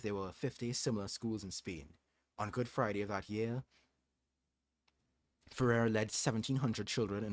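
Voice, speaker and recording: Low-voiced man musing on a livestream. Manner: thoughtful, hesitant, many restarts and ums. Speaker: livestream host. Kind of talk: casual livestream chat and reading aloud.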